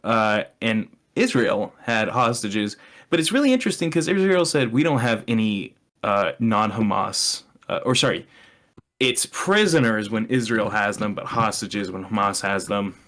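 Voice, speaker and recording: A man's voice: on the low side, lively intonation, normal speed, a bit bright. A young man chatting casually as a podcast co-host, explaining his opinions in a sardonic way and swearing freely.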